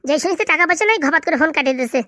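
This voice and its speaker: A woman's voice: high voice, racing, bright, forceful tone, no room sound, clean studio sound. A woman playing a bitter, nagging village wife in a comedy cartoon, scolding and complaining sarcastically. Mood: sarcastic scolding.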